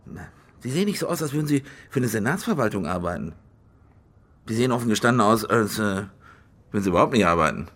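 An actor playing an anxious, blustering stadium boss in a German radio crime drama, stammering and sarcastic, with a husky voice. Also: male voice, on the low side, sing-song, quick pace, a bit bright, full character voice. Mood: sceptical, wary.

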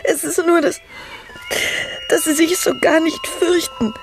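An actress playing a tender, eager aristocratic lady in love in a radio drama, soft and earnest. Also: very high voice, steady tone, a little slow, faint music behind, low-quality recording. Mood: moved, tearful.

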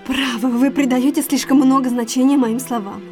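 An actress playing an anxious, secretive young gentlewoman in an audio drama, guarded and earnest. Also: sits high, fairly even intonation, normal speed, soft background music, close to an everyday voice. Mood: guarded, urgent.

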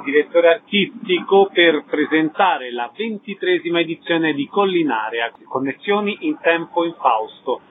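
A man's voice: fairly high pitch, a little hiss or hum. A man hosting a radio interview, introducing the guest and putting questions to him. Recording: narrow-band, phone-like radio sound.

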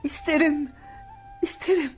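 An actor voicing an eager, innocent young shepherd child in a radio play, earnest and excitable. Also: high voice, unhurried, faint music behind. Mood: pleading. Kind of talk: radio theatre acting.

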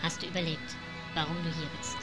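A woman playing an enigmatic storyteller, narrating a surreal radio play in a deliberate, cryptic, incantatory manner. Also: sits low, flat delivery, over loud music, close to an everyday voice. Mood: cryptic, measured. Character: enigmatic female storyteller addressing the listener directly.

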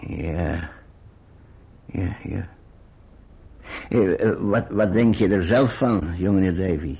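A man's voice: low-pitched, slow, full character voice. An actor playing a devoted older working man in a Dutch radio play, stammering and repeating his words. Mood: anxious, downcast. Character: Baas Peggotty, devoted older working man searching for lost Emily. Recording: muffled, husky old radio recording.

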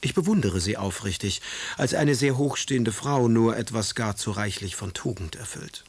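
An actor playing a passionate, melancholic and sardonic Romantic poet in a literary radio drama, with a husky voice. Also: male voice, fairly low pitch, expressive, normal speed. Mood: wry. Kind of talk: literary radio drama, reading letters aloud.

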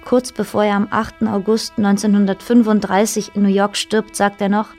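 A woman's voice: medium pitch, little pitch movement, moderate pace, a little mellow, faint music behind, close to an everyday voice. A woman reading scripted literary narration as the storyteller of a radio play. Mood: measured storytelling. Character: female storyteller narrating island histories.

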